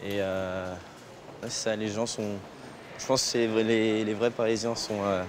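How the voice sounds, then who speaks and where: A man's voice: fairly low pitch, unhurried. A man in his twenties talking casually and candidly as a new pastry employee, outdoors in the city. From France. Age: 20s. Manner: relaxed, candid, chatty. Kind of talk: casual interview. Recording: outdoor street noise.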